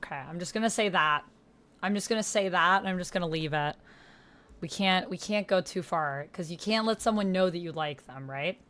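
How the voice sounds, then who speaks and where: A woman with a slightly low voice streams a text-based roleplaying game. She talks casually, thinking aloud and reacting to what she reads as she addresses her chat. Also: fairly quick, fairly bright tone.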